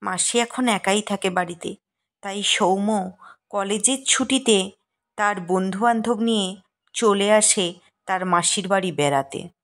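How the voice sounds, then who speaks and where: A woman narrating a scripted cartoon ghost story in a measured, suspenseful storytelling manner. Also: medium pitch.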